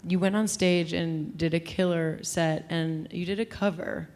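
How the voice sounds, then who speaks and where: A woman host with a lowish, fairly flat-toned speaking voice. She asks thoughtful, admiring questions and keeps the talk moving. The conversation was recorded at a live music venue. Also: measured pace. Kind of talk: interview host chat.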